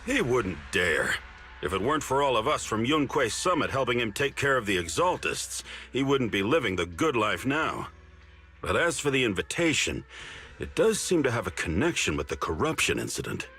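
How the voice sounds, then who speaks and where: An actor playing a cunning, easygoing senior disciple in a video game, explaining things with confidence and expression. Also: male voice, medium pitch, big pitch swings, moderate pace, sharp tone, soft background music. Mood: sly, confident.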